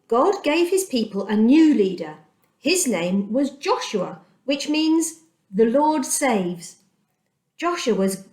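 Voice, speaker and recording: Female children's storyteller, fairly high voice, very animated melody, dramatic deliberate phrasing, recorded in a roomy space. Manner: deliberate, dramatic emphasis with repeated pauses. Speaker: storyteller reading a children's Bible aloud. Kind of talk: expressive children's storytime reading. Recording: echoey room.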